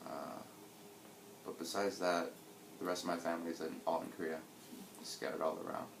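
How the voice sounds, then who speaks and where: A young man in his twenties with a very low voice, talking through his answers in a reflective, hesitant way. His voice bounces around a roomy space. Steady background noise sits under it. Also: normal speed, vocal fry. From United States. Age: 20s. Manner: reflective, hesitant, with fillers and restarts. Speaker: interviewee. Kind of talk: casual interview answers.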